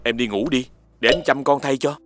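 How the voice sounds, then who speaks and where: A man's voice: mid-range voice, brisk, close to an everyday voice. A man voicing a firm, protective father dog in a cartoon, with a Southern Vietnamese accent. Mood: concerned. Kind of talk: cartoon dubbing. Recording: music behind the voice.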